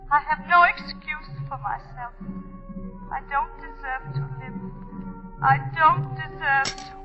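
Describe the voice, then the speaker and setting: A tormented, refined wife in a 1940s radio melodrama. Her voice sits high and is intensely theatrical, heard through a dull, band-limited recording with faint music. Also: slow. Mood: anguished confession. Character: tormented unfaithful wife confessing murder, refined and guilt-ridden. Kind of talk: 1940s radio drama acting.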